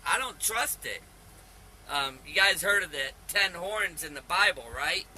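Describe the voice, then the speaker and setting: Male, 50s. Slightly high, forceful voice, earnest rambling vlogger, faint background noise. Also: expressive, a little slow, low-quality recording. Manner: earnest, insistent, folksy, repeatedly addresses listeners as man. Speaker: video channel host. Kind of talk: rambling religious vlog monologue.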